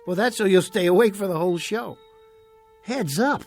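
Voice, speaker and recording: An actor playing an uneasy nightclub ventriloquist in a radio play. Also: male voice, sits high, moderate pace, soft background music. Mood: showy. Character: uneasy, troubled nightclub ventriloquist doing straight-man patter.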